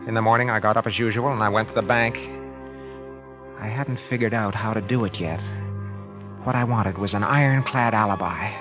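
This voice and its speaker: Man acting a low-voiced, weary henpecked husband. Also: fairly quick, pauses often, over music, natural voice. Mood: brooding, grim. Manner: weary, resigned, brooding confession. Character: henpecked, weary, brooding bank auditor husband. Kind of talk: 1940s radio drama first-person narration. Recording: muffled old radio recording.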